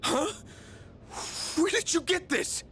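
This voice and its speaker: A man's voice: very high-pitched, animated, takes it slowly, sharp tone, slight background noise, exaggerated voice. A man playing a frightened, foul-mouthed young man in a video game. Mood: bewildered alarm.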